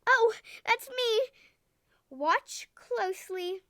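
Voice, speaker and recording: A woman plays a friendly eel from a children's storybook. The voice is very high and bright, kindly and confiding in its encouragement. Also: expressive, extremely slow, no room sound, studio quality, full character voice. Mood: cheerful. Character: cheerful, friendly, reassuring eel swimmer.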